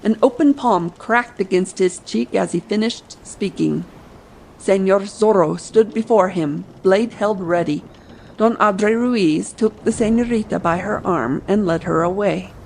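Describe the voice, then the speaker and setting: A woman's voice: mid-range voice, unhurried, creaky, a little mellow, slight background noise, low-quality recording. A woman narrating a scripted adventure audiobook.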